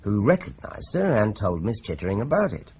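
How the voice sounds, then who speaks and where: Low, measured detective, animated phrasing, muffled radio-play sound. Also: male voice, slight background noise. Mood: explaining. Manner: measured and explanatory. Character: calm, measured senior male detective.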